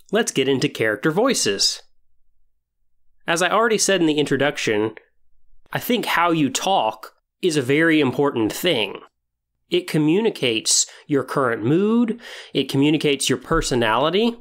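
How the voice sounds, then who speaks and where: A man hosting a podcast in a semi-scripted monologue, explaining things in an earnest, measured way with emphatic pauses. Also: fairly high pitch, very animated, fairly bright tone. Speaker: podcast host. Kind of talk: semi-scripted podcast monologue about tabletop role-playing.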